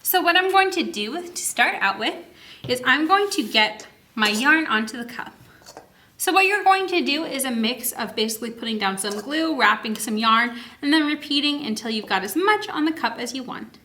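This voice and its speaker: High, bright-voiced woman hosting story time. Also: animated, normal speed. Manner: addresses children directly with questions and prompts. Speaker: children's librarian and story time host. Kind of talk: animated read-aloud and chat to young children.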